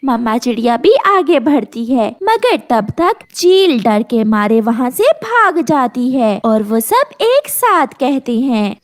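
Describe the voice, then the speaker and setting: A woman narrates a children's tale from a script. Her voice is high and her pace is slow and measured. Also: very animated. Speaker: story narrator.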